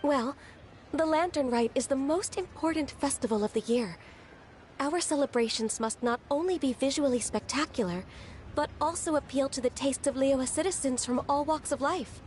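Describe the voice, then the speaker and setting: A woman voicing a gentle, diligent young female official in a video game, polite and measured. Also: high-pitched, slight background noise, close to an everyday voice. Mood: hesitant, serious.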